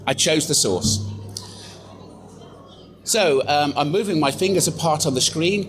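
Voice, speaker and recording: An English man giving a chatty, self-deprecating live software demonstration to a conference audience, with lots of fillers. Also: a little high, a little hiss or hum. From England. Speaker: software presenter. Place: conference room.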